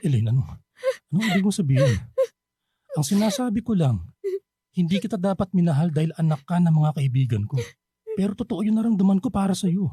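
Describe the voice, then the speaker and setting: A man's voice: sits high, expressive, a little fast, dark tone, breathy edge, dry recording, studio quality. A man with a Filipino accent playing a hesitant, sombre, guilt-ridden older man in a radio drama. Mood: guilty, pained. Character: guilt-ridden older man torn over a forbidden love. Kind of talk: radio drama acting and narration.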